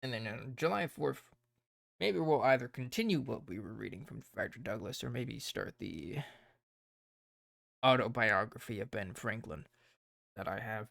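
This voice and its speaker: A man chatting on a livestream with a gravelly, croaky voice that has a bright, forceful edge. He rambles and thinks aloud as he plans, sounding hoarse and worn. The sound is close and dry. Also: mid-range voice, lively intonation, moderate pace, long pauses, no room sound. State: hoarse, tired voice. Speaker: streamer. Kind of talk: casual livestream chat.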